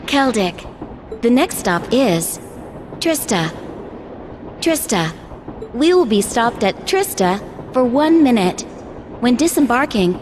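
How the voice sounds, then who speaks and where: Slow, formal female train announcer. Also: a little high. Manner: measured, polite, formal. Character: courteous, formal woman announcing train stops. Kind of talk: formal scripted public announcement. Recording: faint vehicle noise behind.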